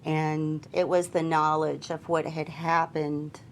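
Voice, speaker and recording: A woman, a flight attendant, giving a measured and deliberate account in a documentary interview. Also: low voice, level tone, a little hiss or hum.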